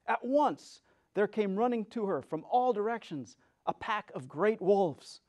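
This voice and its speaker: High-voiced man reading a story dramatically. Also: a little slow. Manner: theatrical, expressive. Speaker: storyteller, reader.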